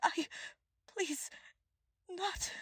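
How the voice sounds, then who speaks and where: A woman's voice: very high-pitched, very slow, a bit bright, no echo, studio quality, voice pushed up, heavily acted. A woman voicing an earnest, anguished young nobleman held prisoner, speaking with raw intensity in a fantasy story. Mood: desperate. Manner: emotional, intense.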